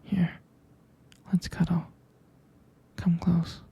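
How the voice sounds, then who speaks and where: Male actor as possessive, loving boyfriend for ASMR roleplay: very breathy and husky, heavy vocal fry, hushed close-mic intimacy, tender and halting. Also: high voice, completely flat delivery, heavily acted. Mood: tender, nostalgic. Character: jealous, protective, devoted boyfriend comforting his partner. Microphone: close microphone.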